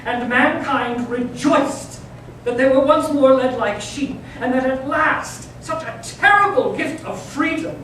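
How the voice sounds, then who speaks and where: An old, bitter inquisitor cardinal, acted by a man in staged readers theater. His high voice declaims imperiously, swelling from hush to force. It carries from a distance through a very echoey, noisy hall. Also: a little slow, exaggerated voice. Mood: fervent, bitter.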